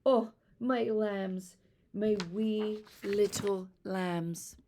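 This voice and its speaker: A woman's voice: medium pitch, fairly even intonation, extremely slow, mellow, a little hiss or hum, pitched above the performer's own voice. Woman acting a tender, emotional storybook mother. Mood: tender, relieved. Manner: loving, fervent. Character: tender, emotional mother of two young girls. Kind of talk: children's storybook read aloud.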